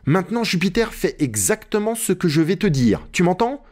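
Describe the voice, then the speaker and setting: A man voicing an agitated, imperious gentleman treasure hunter who calls out orders in a storybook. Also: high voice, sing-song, normal speed, pitched above the performer's own voice. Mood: eager, insistent.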